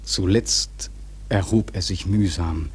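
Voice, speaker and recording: A man narrating a literary radio drama in a measured, expressive storytelling manner. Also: deep voice, breathy edge.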